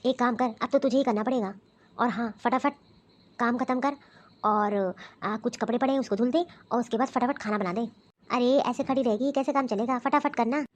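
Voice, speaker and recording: A scheming, domineering older mother-in-law played by a woman in a homemade family drama. Her voice sits high, and her tone is commanding and grumbling as she barks orders and complains. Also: a little fast, lightly acted. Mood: bossy. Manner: scolding, commanding, grumbling to herself. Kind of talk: family skit acting.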